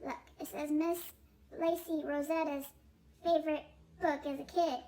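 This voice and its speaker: A woman reading a children's picture book aloud in a playful, expressive way, drawing out words. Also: high voice, normal speed. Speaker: storybook reader.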